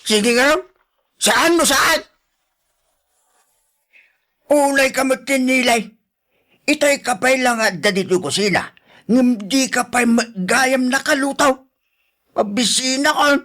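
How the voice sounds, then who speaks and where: An actor playing a grumpy, nagging husband in an Ilocano radio drama, with an Ilocano accent and a complaining, exclamatory way of talking. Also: male voice, very high voice, slow pace, pauses often, bright, forceful tone, full character voice. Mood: irritated.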